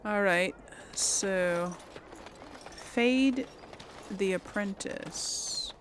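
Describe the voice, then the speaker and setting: A woman's voice: medium pitch, very slow, a little hiss or hum. A woman hosting a casual gaming video, chatting and thinking aloud as she role-plays. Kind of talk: casual role-play game commentary.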